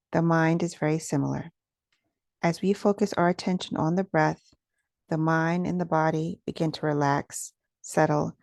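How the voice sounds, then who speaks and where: A woman leading a guided meditation as its teacher, speaking in a gentle, soothing, instructional manner with soft pauses. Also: low-pitched, gravelly, no echo, studio quality.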